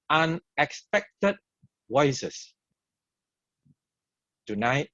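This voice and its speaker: A man with a Malaysian English accent delivers an opening address in short, emphatic, repeated phrases. He speaks slowly, pausing between each point. The sound is dry and close, with dull, lo-fi livestream quality. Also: on the high side, dry recording. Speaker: event host and organizer. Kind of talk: opening speech at an online event.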